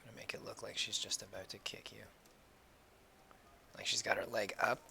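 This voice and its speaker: Man, slightly hoarse, low voice, bright edge, thinking aloud while drawing, faint music behind. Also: level tone, moderate pace, whispered. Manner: mumbled thinking aloud, trailing off. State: slightly hoarse, losing his voice. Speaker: artist live-streaming sketches. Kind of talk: casual talk while drawing.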